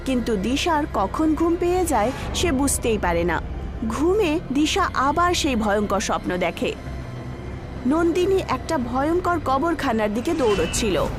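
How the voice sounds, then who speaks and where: A woman's voice: sits high, very animated, measured pace, music in the background. A woman narrator reading a scripted horror story.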